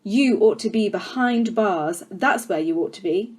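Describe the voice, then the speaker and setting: A woman's voice: medium pitch, lively intonation, a little slow, breathy edge. Storybook villain: menacing, scornful school tyrant played by a woman reader, domineering and emphatic. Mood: furious. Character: tyrannical, child-hating, menacing adult headmistress.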